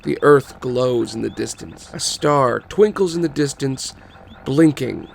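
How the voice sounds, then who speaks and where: A man's voice: medium pitch, animated, unhurried, creaky, slight background noise, low-quality recording. A man narrating a screenplay as podcast host, reading scene directions in a dramatic scripted style. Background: music.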